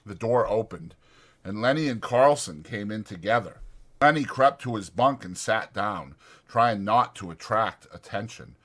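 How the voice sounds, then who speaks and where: A man narrating a dramatized novel as a scripted audiobook reading. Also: lowish voice.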